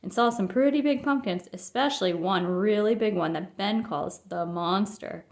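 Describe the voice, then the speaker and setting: A woman's voice: mid-range voice, moderate pace, creaky, close to an everyday voice. A woman voicing a young boy bear cub in a storybook, earnest, doubtful and tentative.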